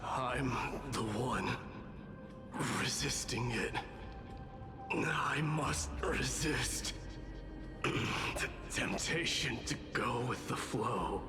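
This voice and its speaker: Game role: grim immortal warlord, solemn, very slow and deliberate, music behind. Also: male voice, medium pitch. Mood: grim, resolute. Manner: slow, halting, solemn delivery. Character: grim undying warrior lord, stubborn and battle-worn. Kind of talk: dramatic video game voice acting.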